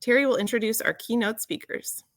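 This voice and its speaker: A woman's voice: on the high side, brisk, heavy background noise. A woman hosting an awards ceremony with scripted remarks. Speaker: ceremony host.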